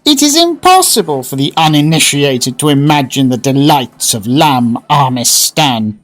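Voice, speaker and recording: An actor playing an affable, chatty gentleman diner in a radio drama, with brisk conversational delivery. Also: a little high, very animated.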